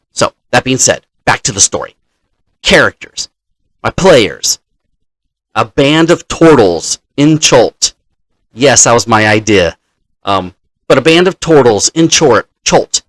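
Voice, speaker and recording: A man in the role of dungeon master, casually telling a story from his game session in a halting, deliberate manner. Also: mid-range voice, fairly bright tone, no echo.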